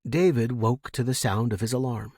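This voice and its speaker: A male fiction narrator with a medium-pitched voice that has some husk to it. He reads scripted prose dramatically, building suspense through his phrasing. The recording is dry, with hardly any room sound. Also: normal speed, darkish tone, no room sound. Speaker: audiobook-style fiction narrator.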